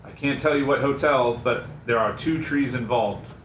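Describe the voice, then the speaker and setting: A man's voice: medium pitch, normal speed, a bit bright. A man on a radio show crew, talking casually in on-air banter. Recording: roomy, off-mic, faint background noise.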